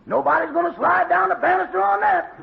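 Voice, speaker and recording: A man playing a cheeky, grumbling valet with a raspy voice in 1940s radio comedy. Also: very high voice, brisk, heavily acted. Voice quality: gravelly, raspy. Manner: wisecracking back talk. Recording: tinny, noisy 1940 radio recording.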